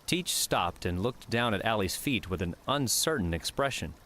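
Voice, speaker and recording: Male narrator, low pitch, scripted fiction audiobook, faint background noise. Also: lively intonation, normal speed, pushed and bright.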